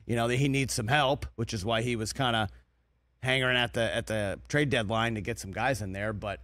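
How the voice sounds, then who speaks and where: A man's voice: mid-range voice, fairly quick, a bit bright, dry recording. A man co-hosting a sports talk show in a TV studio, speaking conversationally with stammering restarts. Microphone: desk broadcast mic.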